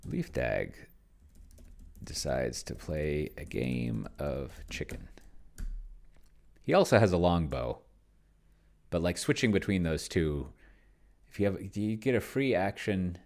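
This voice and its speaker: Low-pitched man, casual solo gameplay commentary, halting, musing, trailing thoughts. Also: big pitch swings, creaky, darkish tone. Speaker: solo tabletop roleplayer and show host.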